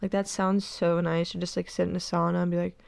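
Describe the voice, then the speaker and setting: A young woman in her twenties hosts her podcast in a loose, rambling way, leaning on "like" constantly. Her nose sounds stuffed up, and a gravelly vocal fry runs under a smooth voice. The sound is dry, with no room echo. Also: fairly low pitch, little pitch movement, fairly quick, darkish tone. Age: 20s. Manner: rambling, peppered with "like". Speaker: podcast host. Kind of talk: casual chat.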